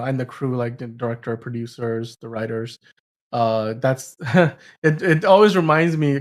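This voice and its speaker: A man hosting a podcast in a casual, rambling, chatty way, talking through entertainment news. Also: medium pitch. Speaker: host of a film news podcast.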